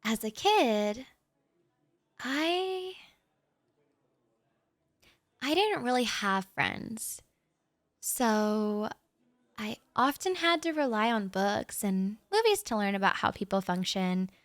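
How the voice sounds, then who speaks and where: A young woman in a shy, rambling audio roleplay monologue, talking softly straight to the listener with nervous little laughs. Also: fairly high pitch, sing-song, slow pace, pauses often, no room sound.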